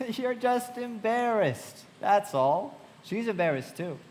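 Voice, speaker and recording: A man playing a frank young storyteller in a live radio play, heard in a long, noisy hall reverb. His voice sits a little high and moves in lively swings as he delivers literary narration and dialogue. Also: extremely slow, exaggerated voice. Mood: chiding. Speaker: narrator and actor. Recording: long hall reverb, clear background noise.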